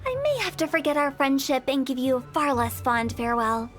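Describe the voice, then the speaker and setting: High, teasing funeral director. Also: female voice, expressive, fairly quick, soft background music. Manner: teasing, mischievous, giggly. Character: playful, macabre young woman funeral director. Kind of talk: game cutscene voice acting.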